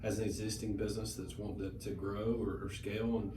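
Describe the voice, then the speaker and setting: Rambling thirty-something man, low voice, echoey room. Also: little pitch movement, quick pace. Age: 30s. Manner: rambling, many restarts and repeated words. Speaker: guest, brewery owner. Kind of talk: casual interview chat. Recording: echoey room, noisy, mic at a distance.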